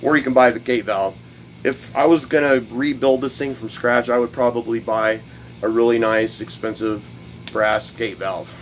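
A man talks through a build at his workbench, recorded close by a camera set on the bench. His voice sits in a plain middle pitch. He rambles casually, repeating words and filling pauses, and a faint workshop noise stays under his voice. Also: steady tone. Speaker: DIY video host. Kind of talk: casual workshop how-to demonstration. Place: cluttered home workshop at a workbench.

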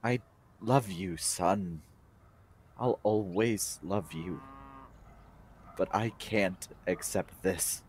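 A man playing a stern, reserved father in a radio play, speaking tersely and haltingly. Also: low-pitched, a little mellow, husky, exaggerated voice. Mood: grave, unyielding.

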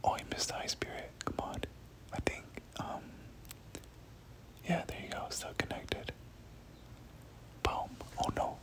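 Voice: measured pace, pauses often. Whispered ASMR gaming commentary. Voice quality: breathy whisper. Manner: repeats words and short phrases over and over. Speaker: gaming video host.

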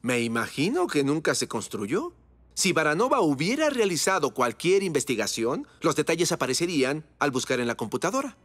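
A man playing an enthusiastic, scholarly inventor father in a cartoon dub, with an expressive, professorial manner. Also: fairly high pitch, brisk, exaggerated voice. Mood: satisfied.

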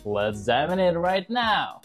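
Upbeat guy in his 20s doing a tutorial, really animated intonation, bit of music under it. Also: a little high, takes it slowly. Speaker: tutorial presenter. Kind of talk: scripted tutorial narration to camera.

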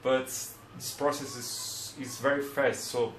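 Brazilian man in his twenties, slow and careful, non-native English, plain how-to tone, roomy camera sound. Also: medium pitch, steady tone, fairly bright tone, a little hiss or hum. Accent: Brazilian Portuguese. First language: Portuguese. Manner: unhurried, plain, searching for words. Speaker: hobbyist demonstrator. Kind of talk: casual how-to demonstration. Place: small room at a desk.

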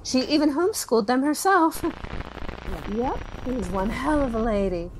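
A chatty small-town niece acted by a woman with a fairly high voice. She tells family stories in a folksy, rambling way for a documentary-style audio drama. There is faint noise behind her. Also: sing-song, moderate pace, rough recording. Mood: fond reminiscing. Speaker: interviewee. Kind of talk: acted documentary interview, conversational.

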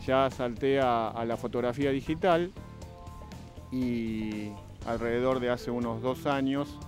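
A man talks in a measured, reflective way, pausing on fillers and restarting his phrases. His pitch sits in the middle range. He is recorded outdoors on a handheld microphone. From Buenos Aires, Argentina. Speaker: interviewee, photographer and diver. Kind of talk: recorded interview testimony. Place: outdoors under trees.

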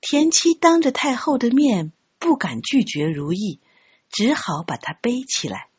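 A woman narrating an audiobook story in an expressive, scripted storytelling style. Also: fairly high pitch, measured pace, breathy edge, muffled recording. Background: background music.

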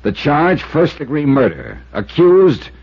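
An actor playing a hard-boiled, wry insurance investigator, with an American accent and clipped, dramatic 1950s radio delivery. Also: male voice, a little high, wildly swooping pitch, slow pace, slightly rough, full character voice. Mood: pressing, insistent. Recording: muffled 1950s radio recording with music.

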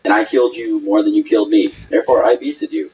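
A man's voice: very high-pitched, wildly swooping pitch, fast, vocal fry, highs cut off, a little hiss or hum. A young man arguing in online game chat, exasperated, with insistent point-by-point delivery. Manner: exasperated, argumentative. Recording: game chat with background voices.

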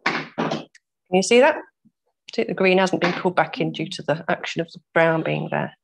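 Croaky woman, slightly low voice, calm step-by-step craft tutor on a video call. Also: rough recording. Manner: patient, explains slowly, laughs at own mistakes. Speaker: weaving workshop teacher. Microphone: laptop mic over video call.

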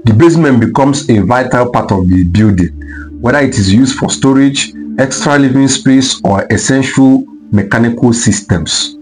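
A man with a mid-range voice narrates a scripted documentary voice-over. He reads each step in a steady, explanatory way. Faint music plays behind him. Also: mid-range voice, moderate pace, rough recording. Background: faint background music.

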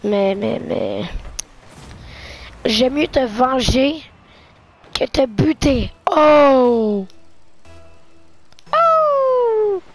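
A young woman with a sore throat, casually commentating a car-soccer video game match in an excitable, joking, chatty way. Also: high-pitched, very slow, pauses often, soft background music. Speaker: esports commentator.

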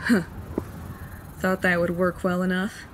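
A woman's voice: on the low side, completely flat delivery, a little slow, slight background noise, lightly acted. A woman playing a vengeful, crooked sheriff and gang boss, barking and swaggering in a Western audio drama. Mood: menacing, gloating. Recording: loud plucked guitar music behind.

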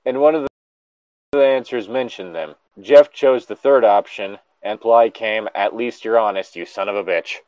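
A man's voice: on the low side, normal speed, darkish tone, no room sound, lo-fi sound. A man casually reading a story aloud and breaking off with exasperated, incredulous, sweary commentary.

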